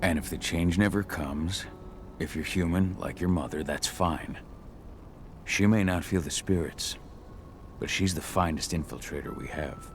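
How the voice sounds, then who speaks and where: An actor voicing a gruff werewolf warrior and steady, protective father in a video game, in a calm, measured, gravelly voice. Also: low voice, a little hiss or hum. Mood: steady, reassuring. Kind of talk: video game cutscene acting.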